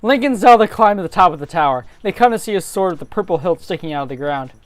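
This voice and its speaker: A woman's voice: on the low side, expressive, fast talker, no echo. A woman narrating a fantasy story informally, as an amateur reader would, over faint music. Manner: informal, breaking into giggly asides.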